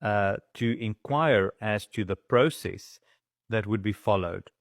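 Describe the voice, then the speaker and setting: South african guy, kinda low voice, lots of uh and um, dry close sound. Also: slow pace, no room sound. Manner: hesitant, many fillers and restarts. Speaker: chamber of commerce spokesman. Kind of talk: news interview.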